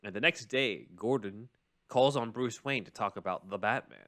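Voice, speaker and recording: Male podcast host, low pitch, explains things in an informal, conversational register. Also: moderate pace, fairly bright tone. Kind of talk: casual discussion and ad reads.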